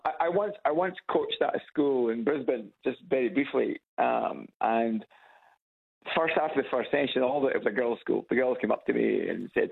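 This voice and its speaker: A man's voice: medium pitch, creaky, breathy edge. A man with an Edinburgh Scottish accent calling in to a radio show, hesitant and repeating his words as he chats. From Scotland. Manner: hesitant, repeats words, chuckles at own stories. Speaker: caller. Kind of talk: casual phone chat. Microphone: phone on loudspeaker. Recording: tinny phone line.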